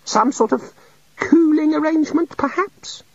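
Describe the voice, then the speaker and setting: A man's voice: very high-pitched, unhurried, gravelly, a little mellow, no room sound, lo-fi sound, voice pushed up, heavily acted. A man voicing a meek, gloomy male island god with a sad, fussy manner, in an audiobook reading. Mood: musing.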